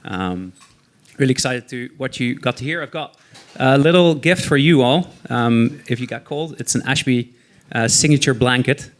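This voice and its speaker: A man opening a live panel event with welcome remarks, hesitant and filling his pauses with ums. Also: medium pitch. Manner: hesitant, full of ums and filler. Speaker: sponsor representative opening the event. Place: event room with an audience.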